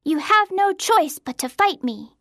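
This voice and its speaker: A woman's voice: very high voice, big pitch swings, measured pace, sharp tone, no echo, clean studio sound, pitched above the performer's own voice, full character voice. A woman voicing a girl who plays a bold, haughty lady ghost knight in a storybook, declaiming imperiously. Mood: haughty, defiant.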